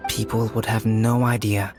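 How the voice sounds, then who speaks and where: A man playing a calm, scholarly young alchemist in a video game, speaking in a measured, reflective and quietly eerie way. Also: on the low side, over music, natural voice. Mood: quietly ominous. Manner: measured, reflective, precise storytelling delivery. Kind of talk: video game story dialogue.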